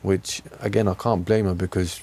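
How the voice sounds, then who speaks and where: A man's voice: low voice, flat delivery, moderate pace, a little mellow. A man being interviewed outdoors, talking casually and candidly, repeating phrases for emphasis and checking in as he goes. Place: outdoors on a park bench.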